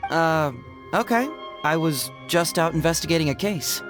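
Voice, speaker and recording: A man playing a breezy, quick-witted young detective in a video game, casual in manner, with music behind him. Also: a little high, natural voice. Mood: evasive, breezy. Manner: casual, nonchalant. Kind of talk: scripted video game dialogue.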